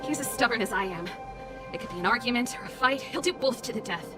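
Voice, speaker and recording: Lady doing a bold rebel big sister in a game, high voice, really insistent, music playing behind. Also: expressive, fast, natural voice. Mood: exasperated. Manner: impassioned, insistent. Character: bold, defiant older sister, rebel against authority. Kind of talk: dramatic video game voice acting.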